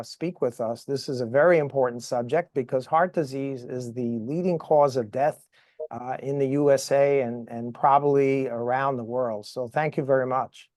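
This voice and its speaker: A man hosting a podcast interview, speaking in a measured way with frequent "uh" fillers. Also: medium pitch, no echo, studio quality. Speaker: podcast host. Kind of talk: interview, reading a guest introduction.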